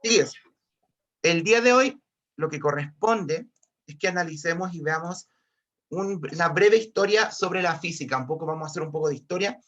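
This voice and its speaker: A Chilean male physics teacher, a little hoarse from a cold, teaching online in a fairly high voice. He restarts sentences and keeps calling to his students, through dull, lo-fi video-call audio. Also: normal speed, fairly bright tone. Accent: Chilean Spanish. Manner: repetitive, restarts often, keeps addressing students. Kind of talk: online class lecture.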